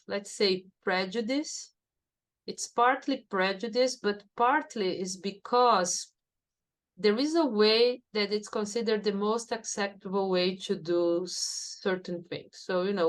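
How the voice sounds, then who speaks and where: Woman, Brazilian Portuguese accent, reflective, conversational, mid pitch. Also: measured pace. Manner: thoughtful, with frequent "you know" fillers. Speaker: neuroscience professor, guest. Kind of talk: conversational interview.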